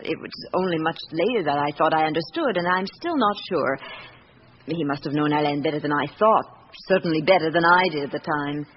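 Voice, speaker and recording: A woman's voice: deep voice, a little fast, natural voice. Lady acting wistful british woman, middle aged, guarded n composed, old dull radio recording w faint noise. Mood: reflective.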